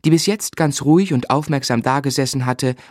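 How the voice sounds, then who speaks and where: An actor playing a composed gentleman who narrates his own story in a German literary radio drama. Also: mid-range voice, a little fast, close to an everyday voice. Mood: dramatic, composed. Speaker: first-person narrator.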